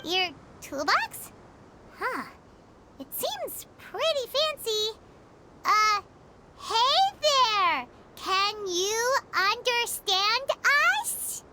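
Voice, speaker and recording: A woman voicing a tiny, childlike fairy companion in a video game, squeaky and bubbly, curious and blunt. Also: big pitch swings, extremely slow, very noisy, exaggerated voice. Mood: curious, cheerful. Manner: bubbly, speaks of self in third person. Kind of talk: animated video game character acting.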